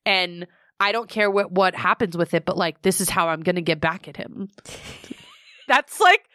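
A young woman co-hosting a podcast in a studio, chatting casually and getting animated, her pitch jumping up when she gets worked up. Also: a little slow. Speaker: podcast co-host. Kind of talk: casual chat.

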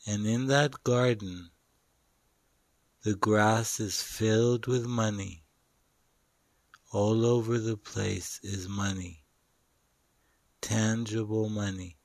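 A man guiding a meditation in short, deliberate phrases with long pauses between them. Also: fairly low pitch, gravelly, no echo. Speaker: meditation guide. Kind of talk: guided meditation narration.

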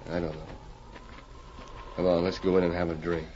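An actor playing a gruff, scheming outlaw ringleader who talks in curt slang, in an old-time radio drama. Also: male voice, low voice, flat delivery, moderate pace, darkish tone, close to an everyday voice. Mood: wary. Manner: curt, slangy tough talk. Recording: muffled, noisy old radio recording.

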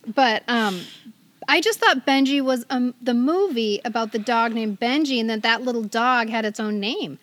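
A woman's voice: a little high, normal speed, a bit bright. A middle-aged American woman co-hosting a podcast, chatting casually and reading out magazine items. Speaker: podcast co-host.

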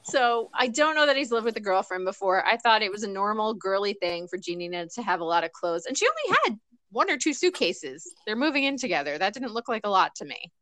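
Podcast co-host, woman, bright and crisp, dry sound, chatty. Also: medium pitch, sing-song, a little fast, dry recording. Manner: chatty, laughs often. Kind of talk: casual chat.

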